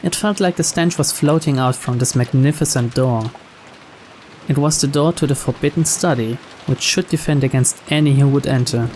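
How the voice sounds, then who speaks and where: A man reading scripted visual novel narration aloud in a measured storyteller's manner. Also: mid-range voice, darkish tone, a little hiss or hum.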